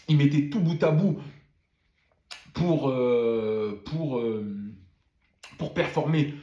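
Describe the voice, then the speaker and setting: A man's voice: a little high, extremely slow, long pauses, roomy sound, highs cut off. A man who has a cold gives a casual spoken debrief of a motor race, full of fillers and repeated words. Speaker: F1 commentator.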